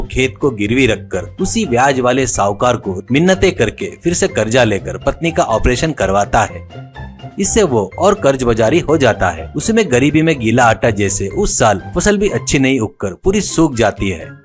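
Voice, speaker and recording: Male narrator, animated delivery for a scripted moral tale, light music underneath. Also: mid-range voice. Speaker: storyteller.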